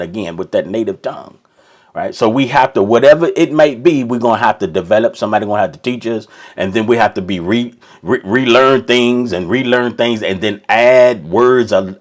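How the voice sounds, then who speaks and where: A man's voice: medium pitch, darkish tone. A man preaching an informal sermon, rambling with many restarts and checking in with "right?". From Kentucky.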